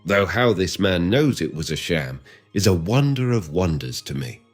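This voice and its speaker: A male narrator plays a sly middle-aged spy who speaks smoothly and ingratiatingly. The voice sits very low yet moves lively up and down, with faint music behind. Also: moderate pace. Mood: rattled. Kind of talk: audiobook character reading.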